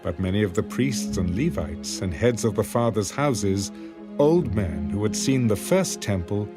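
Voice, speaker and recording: A man narrating scripture for a dramatised audiobook in solemn, measured phrases. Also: fairly low pitch. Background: music.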